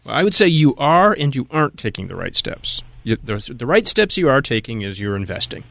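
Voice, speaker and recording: A man co-hosting a call-in radio advice show, offering measured financial guidance. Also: medium pitch, very animated, vocal fry, fairly bright tone, no echo. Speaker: radio co-host, attorney.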